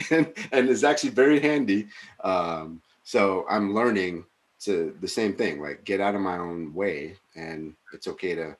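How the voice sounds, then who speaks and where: A man in his forties co-hosting a podcast, talking casually and reflectively, with many pauses and fillers. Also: low-pitched. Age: 40s. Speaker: podcast co-host. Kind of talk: casual conversation.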